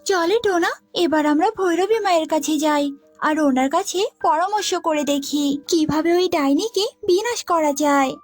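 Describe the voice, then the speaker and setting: A woman's voice: very high voice, normal speed, no echo, faint music behind. A woman voicing a small, brave female tailorbird in a cartoon, earnest, protective and determined. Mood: earnest concern. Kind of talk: cartoon voice acting.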